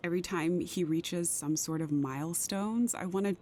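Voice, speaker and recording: An actress playing a troubled, guarded career woman in an intimate audio drama. Her voice is lowish and soft-edged, measured and confiding. A faint rumble sits behind her. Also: natural voice. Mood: wistful. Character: careful, troubled career woman, secretly a mother.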